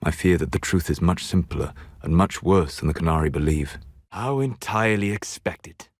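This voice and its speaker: A proud, scholarly male elf mage for a fantasy game. His delivery is measured and precise, with a dry, superior edge. The voice sits in a low to middle male register and is recorded close and dry, with no room around it. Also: breathy edge, dry recording. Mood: dry, sardonic. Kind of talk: video game character dialogue.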